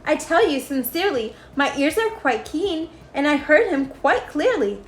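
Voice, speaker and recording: A woman's voice: sits high, sing-song, normal speed, echoey room, slight background noise, voice pushed up. A woman voicing an earnest, kindly male elephant from a storybook, speaking in an emphatic, pleading way.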